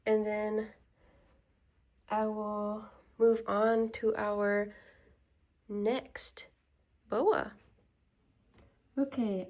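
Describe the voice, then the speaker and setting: A woman narrating her small business vlog, stuffy and congested, walking through her work in a soft, unhurried way. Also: a little high. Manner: slow, soft-spoken walkthrough.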